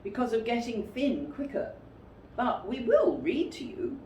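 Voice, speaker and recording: Woman voicing a fond, gentle storybook boy, lively intonation. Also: on the high side, measured pace, pitched above the performer's own voice. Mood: gently firm. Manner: gentle, reassuring. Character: Christopher Robin, a fond, sensible young boy. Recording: roomy, distant mic with faint noise.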